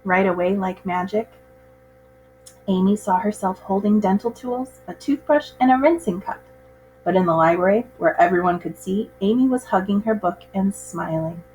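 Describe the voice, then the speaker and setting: A woman's voice: mid-range voice, normal speed, darkish tone, low-quality recording. Woman reading a children's story over music. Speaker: teacher reading a picture book aloud. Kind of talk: expressive children's storybook read-aloud.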